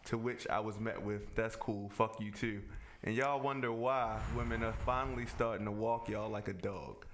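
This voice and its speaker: A man's voice: fairly low pitch, fairly even intonation, normal speed, a bit bright, a little hiss or hum. A man co-hosting a casual podcast, talking in slang and ending many lines with yo. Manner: slangy, tacks yo onto his lines. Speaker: co-host.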